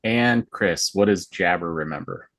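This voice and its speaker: A man's voice: deep voice, unhurried, fairly bright tone. A man acting as game master, narrating and managing turns in an online tabletop game.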